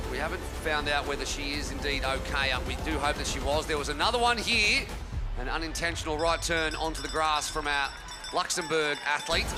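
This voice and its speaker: A man commentating on a race recap as a sports broadcaster. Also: fairly high pitch.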